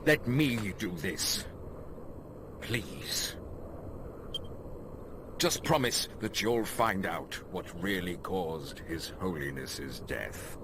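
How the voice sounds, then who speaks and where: Theatrical old prisoner, wildly swooping voice. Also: male voice, low-pitched, animated, background noise, heavily acted. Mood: grave, urgent. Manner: theatrical, formal, drawn-out delivery. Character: pleading elderly male prisoner, devout and self-sacrificing. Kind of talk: dramatic video game cutscene acting.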